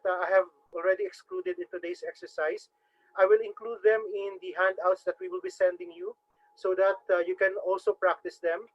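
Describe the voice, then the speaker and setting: A man with a Filipino accent teaching a webinar, formally addressing the audience as ladies and gentlemen. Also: high voice. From Philippines. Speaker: webinar trainer. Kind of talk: instructional webinar talk. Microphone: video call microphone. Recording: dull lo-fi webinar audio.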